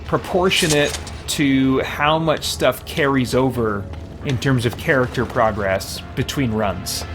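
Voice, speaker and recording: A man's voice: mid-range voice, measured pace, over music. A man doing casual live-stream gameplay commentary, thinking aloud in an easygoing, chatty way. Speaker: game streamer, host.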